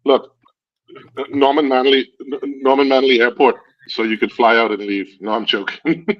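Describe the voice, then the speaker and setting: A man's voice: medium pitch, fairly even intonation, normal speed. Laughing, rambling man with a Guyanese accent. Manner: laughs often, rambling storytelling. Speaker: streamer. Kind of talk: casual chat. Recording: dull lo-fi stream audio.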